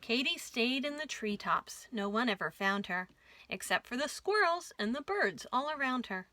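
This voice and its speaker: A woman reading a rhyming picture book aloud for young children in an expressive storytime style. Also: on the high side, normal speed, no room sound. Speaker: children's storytime reader at a library.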